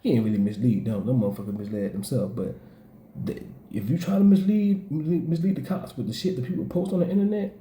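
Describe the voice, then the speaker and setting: Southern male blogger, deep mellow tone, loose profane commentary, roomy echo. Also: fast, a little hiss or hum. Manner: rambling, repetitive, profane street slang. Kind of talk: casual commentary vlog.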